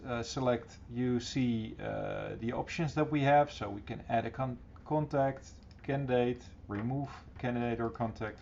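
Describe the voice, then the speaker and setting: A middle-aged man presenting an informal, encouraging software tutorial in a casual style, recorded on a computer microphone. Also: mid-range voice. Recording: dull, slightly noisy computer recording.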